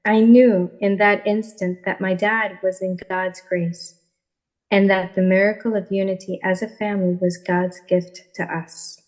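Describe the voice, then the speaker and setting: A woman with a medium-pitched voice speaks as a guest on a podcast. She tells of personal experience in the manner of a testimony. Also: flat delivery, unhurried, a little mellow, poor audio.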